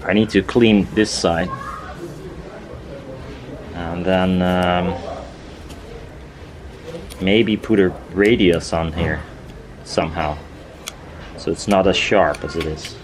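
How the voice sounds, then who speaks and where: A man's voice: sits low, fairly even intonation, slow pace, long pauses. A man chatting casually and thinking aloud as he works on a DIY build, hesitant and often trailing off mid-thought. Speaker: DIY maker filming a build video. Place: outdoors in a garden. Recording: noisy outdoor garden recording.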